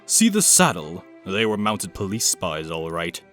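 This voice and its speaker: A man performs a cold comic-book mastermind of middle age. He gives orders in a measured, menacing way, with a lively range of pitch. Music plays behind him. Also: heavily acted. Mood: calculating, grim. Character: cold, scheming middle-aged male villain, menacing and commanding. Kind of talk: dramatic comic dub acting.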